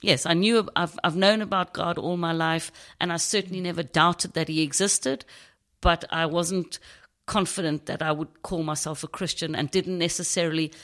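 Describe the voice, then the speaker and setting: A middle-aged woman from South Africa with a South African accent, a podcast guest telling her story thoughtfully with many pauses and ums. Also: fairly low pitch, steady tone, fairly bright tone. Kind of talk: casual interview conversation.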